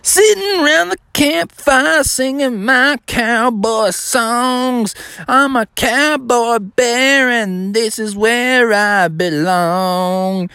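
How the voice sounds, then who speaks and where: A big friendly cowboy bear, as a male storyteller plays him, carefree and oblivious. His pitch sits up high and swoops around freely. He talks in an easygoing, drawn-out way, like a children's story character. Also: big pitch swings, no room sound. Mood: contented singing.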